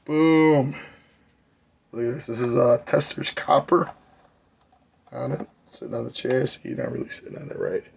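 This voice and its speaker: A man, hoarse and losing his voice, giving a rambling, enthusiastic hobby update on his model car builds. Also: mid-range voice, moderate pace, pauses often. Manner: rambling, enthusiastic, many fillers.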